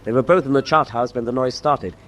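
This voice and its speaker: Man acting a brisk, dutiful first officer. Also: mid-range voice, fairly bright tone, close to an everyday voice. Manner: dutiful, matter-of-fact reporting. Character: deferential, efficient German ship's first officer. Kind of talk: radio drama acting. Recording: noisy audio with ship ambience behind.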